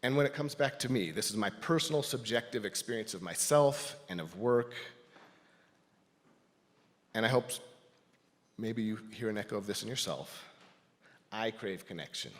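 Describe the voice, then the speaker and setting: A man giving a personal, reflective talk to a congregation as a lay speaker, in a thoughtful, halting manner. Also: on the low side. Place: large indoor church space. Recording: reverberant hall sound.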